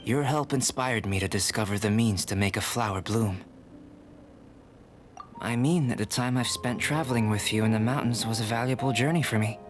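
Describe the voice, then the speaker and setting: A man playing a calm young alchemist scholar in an anime-style video game, speaking in a measured, formal, reflective way. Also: lowish voice, over music, close to an everyday voice. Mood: grateful.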